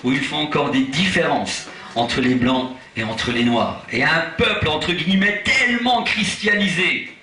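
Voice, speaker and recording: A man from Portugal, an evangelist preaching at a church conference, impassioned and rising to shouts with chuckling asides. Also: on the high side, unhurried. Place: large church hall. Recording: echoey hall, voice from far off.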